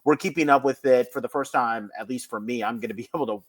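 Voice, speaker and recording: A man's voice: medium pitch, fast talker. A man hosting a podcast in a casual, conversational style. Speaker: podcast host.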